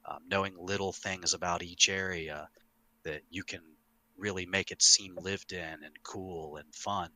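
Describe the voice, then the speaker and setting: A middle-aged man hosting a casual podcast, talking in an emphatic, animated way with some swearing. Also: low-pitched, measured pace, dry recording. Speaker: podcast host, longtime game master.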